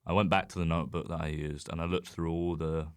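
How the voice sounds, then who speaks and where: A man's voice: sits low, flat delivery, fast, clean tone, dry recording, studio quality. A man chatting casually as a comedian guest on a podcast, rambling, self-deprecating and sweary. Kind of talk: casual chat.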